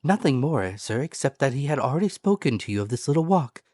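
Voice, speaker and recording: A man's voice: medium pitch, sing-song, fast, a little mellow, clean studio sound, pitched above the performer's own voice, lightly acted. A man voicing a polite, deferential and eager Victorian manservant in an audiobook, formal and proper in manner. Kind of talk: dramatic audiobook character voice. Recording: echoey room, music behind.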